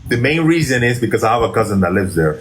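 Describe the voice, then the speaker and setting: A man's voice: mid-range voice, big pitch swings, fast talker, a little hiss or hum. Rambling Venezuelan-born man on a call. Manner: rambling, hesitant, restarts often. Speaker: guest caller. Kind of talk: casual debate chat.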